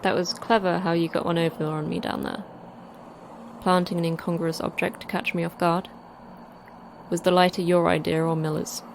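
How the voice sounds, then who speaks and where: A woman's voice: lowish voice, flat delivery, moderate pace, gravelly, background noise. A woman playing a terse, scheming facility manager in a sci-fi horror audio drama, dry and clipped in delivery. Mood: wry, resigned.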